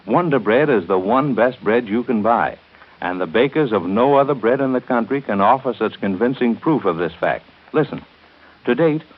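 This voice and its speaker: Muffled 1930s American radio announcer, male. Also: lowish voice. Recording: band-limited 1930s radio recording.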